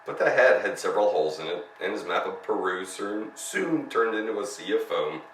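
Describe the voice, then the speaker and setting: Low voiced guy reading a kids story, expressive, echoey room, bit far from mic. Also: brisk. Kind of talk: children's story narration. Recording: roomy, distant mic, faint noise.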